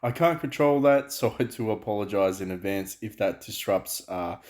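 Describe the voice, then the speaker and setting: A man hosting a gaming channel, talking loudly and chattily through gameplay with many fillers and restarts. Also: on the low side, moderate pace. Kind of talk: casual gameplay commentary. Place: home room, night, dogs and frogs outside.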